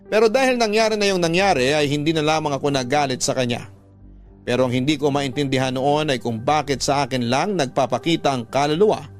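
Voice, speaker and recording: Guy acting as young man telling his own story, radio drama narration, slightly high voice, music under it. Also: natural voice. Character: young male letter writer recounting his haunting. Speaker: first-person narrator.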